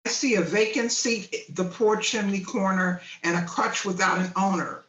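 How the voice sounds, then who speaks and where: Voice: mid-range voice, fairly bright tone, dull audio, lightly acted. Stern ghost for a Christmas radio play, acted by an amateur, grave and formal, heard in an echoey room. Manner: grave, formal, deliberate pronouncements. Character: solemn, rebuking Christmas spirit, stern judge of men. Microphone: video call. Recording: echoey room over video call.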